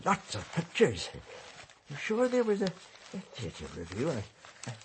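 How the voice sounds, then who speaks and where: A man's voice: fairly high pitch, wildly swooping pitch, measured pace, a little hiss or hum, heavily acted. An actor playing a fussy, dithering male newspaper archivist in a radio drama, stammering and exclaiming.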